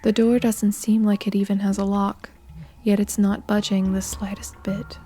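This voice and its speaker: A woman narrates a horror game in a mellow, mid-range voice. Her delivery is flat, measured and sombre. Faint music plays underneath. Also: mid-range voice.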